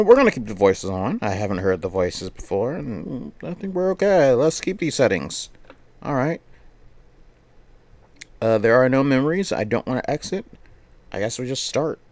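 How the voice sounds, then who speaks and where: A man's voice: medium pitch, very animated, unhurried, a little mellow, no echo. A man streaming games, chatting casually and thinking aloud in a rambling way that often repeats phrases. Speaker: game streamer. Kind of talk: casual livestream chat while setting up a game.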